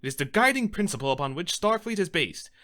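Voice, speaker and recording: A stern, principled starship captain played by a man reprimands with grave authority. He speaks in measured, disappointed phrases, like a television science-fiction drama monologue. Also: sits high, big pitch swings, pushed and bright, full character voice. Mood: firm, stern.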